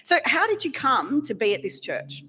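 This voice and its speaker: A woman leads a relaxed interview in a church. Her voice sits fairly high and swoops with lively intonation, and she chats with an easy, teasing warmth. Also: moderate pace, faint music behind. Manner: chatty, lively, laughs easily. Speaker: minister leading worship.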